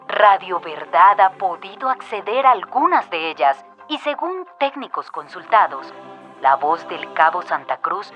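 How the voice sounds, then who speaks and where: Formal Colombian radio newswoman, lowish voice. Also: a bit bright. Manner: formal, measured news delivery. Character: woman radio news announcer reporting a conspiracy, formal. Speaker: radio announcer. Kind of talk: scripted radio news bulletin acting.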